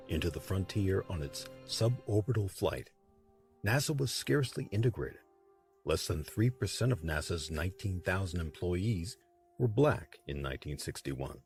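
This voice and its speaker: Guy doing scripted history narration, really deep voice, faint music under it. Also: darkish tone.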